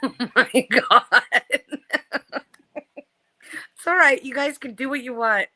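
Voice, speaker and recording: A woman's voice: sits high, slow pace, pushed and bright. A woman in her forties, chatting with family on a live stream, teasing and laughing often. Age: 40s. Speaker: guest, sister of the host. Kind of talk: casual family chat.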